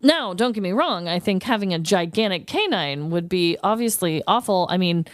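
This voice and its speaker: A woman's voice: lowish voice, animated, normal speed, no echo. A woman with an American accent, chatting casually as a podcast co-host. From Missouri, United States. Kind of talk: casual chat.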